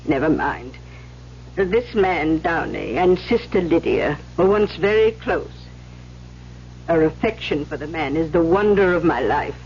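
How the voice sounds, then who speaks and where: An actress playing a stern, weary older Mother Superior, measured and authoritative, in an old-time radio drama. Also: low voice, animated, darkish tone. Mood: guarded, wry. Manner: measured, authoritative, cuts others short. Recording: muffled, hissy old radio recording.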